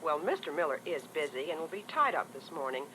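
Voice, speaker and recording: A woman's voice: low voice, sing-song, quick pace. A woman playing a polite, businesslike secretary who screens calls in a training role-play. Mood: brisk, guarded. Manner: businesslike, courteous. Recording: noisy, distant old tape phone role-play.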